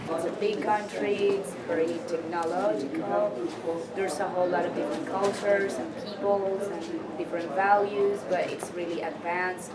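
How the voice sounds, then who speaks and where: A woman's voice: lowish voice, little pitch movement, moderate pace. A young woman with a Colombian accent, a native Spanish speaker, talking casually as an interviewee. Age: young adult. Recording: roomy, distant mic, loud crowd chatter.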